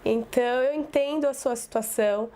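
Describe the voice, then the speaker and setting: Woman, thirties, rambling storyteller, slightly high, mellow voice, home office. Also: moderate pace. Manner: rambling, self-correcting, conversational. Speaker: communications business owner and professor. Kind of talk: casual personal storytelling to camera.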